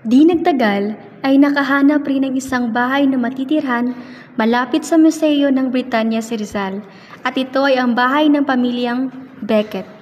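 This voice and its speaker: A woman's voice: sits high, moderate pace, highs cut off, lightly acted. A woman with a Filipino accent reading scripted third-person narration for a student radio drama. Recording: reverberant hall, faint background noise.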